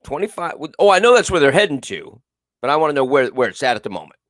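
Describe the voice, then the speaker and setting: A man's voice: a little high, very animated, fast talker, a bit bright, dry recording, clean studio sound. A male talk show host with a big, carrying voice, giving emphatic, repetitive political commentary and reading viewers' comments aloud. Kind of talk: live political call-in commentary.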